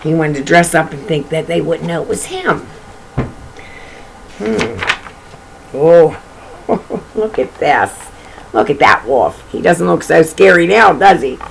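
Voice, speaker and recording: A woman's voice: low-pitched, expressive, takes it slowly, vocal fry, long pauses, a little hiss or hum, low-quality recording. A woman reading a children's storybook aloud in an informal way, with chatty, folksy asides about the pictures.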